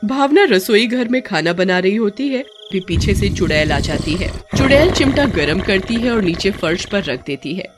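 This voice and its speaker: A woman's voice: mid-range voice, lively intonation, moderate pace, few pauses, over loud music. A woman narrating a scripted story expressively, as a storyteller.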